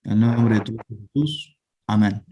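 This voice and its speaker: A man with a Rioplatense Spanish accent saying a spoken prayer, slow and searching, repeating words. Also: on the low side, fairly even intonation, mellow, a little hiss or hum, low-quality recording.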